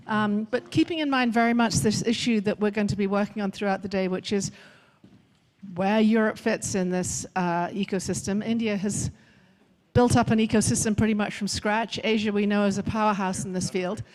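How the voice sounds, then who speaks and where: A woman's voice: medium pitch, steady tone, moderate pace, a little mellow. A woman speaking as a moderator, introducing a conference panel in an unscripted way. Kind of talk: spontaneous stage introduction.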